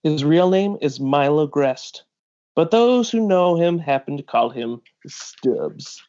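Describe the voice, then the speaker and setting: A man reading a big, seasoned pirate bounty hunter from a comic, wry, measured and explanatory. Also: fairly high pitch, animated, darkish tone, highs cut off.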